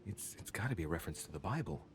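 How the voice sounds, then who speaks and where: A brooding young detective type in a video game, really low voice, thinking out loud dramatically, rumble in the background. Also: male voice, lively intonation, racing. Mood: intent, musing. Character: brooding young man investigating hidden clues, video game hero. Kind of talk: dramatic video game acting, reading aloud.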